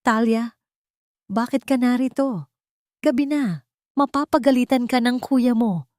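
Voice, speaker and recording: A woman's voice: fairly high pitch, unhurried, darkish tone, no room sound, studio quality, pitched above the performer's own voice, close to an everyday voice. A woman voicing an ailing middle-aged mother in a drama story, weak, pleading and sorrowful. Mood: gently worried.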